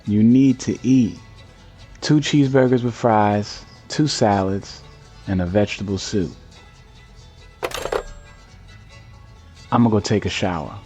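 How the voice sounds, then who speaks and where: Low-voiced, protective man, played by an actor. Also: moderate pace, mellow, soft background music, close to an everyday voice. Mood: matter-of-fact. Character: calm, protective male companion of a fugitive. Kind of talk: audio drama acting.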